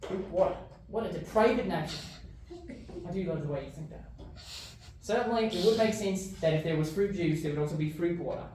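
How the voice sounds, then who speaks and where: A man's voice: high-pitched, brisk, distant mic, close to an everyday voice. Echoey, pedantic eccentric man performed by a man. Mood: pompous. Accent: invented, loosely based on New Zealand. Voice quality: non-rhotic, merged vowels. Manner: pompous, pedantic word choice. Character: eccentric pedantic man, chaotic, weird, grandly verbose debater. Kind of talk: improvised comic debate in character. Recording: very echoey room, off-mic, faint noise.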